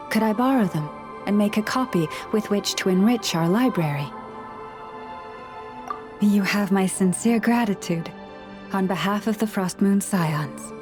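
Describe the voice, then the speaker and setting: A woman plays a gentle keeper of a forest library who talks with animals, her voice mid-pitched, calm and politely formal. Game music plays clearly underneath her lines. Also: close to an everyday voice. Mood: gracious. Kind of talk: video game voice acting. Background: game background music.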